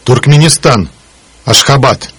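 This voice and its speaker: A man speaking single words slowly and deliberately as a model for learners. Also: mid-range voice, a bit bright, breathy edge, dry recording. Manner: deliberate, articulated word by word. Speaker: native speaker on a language course recording. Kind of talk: slow, careful model pronunciation.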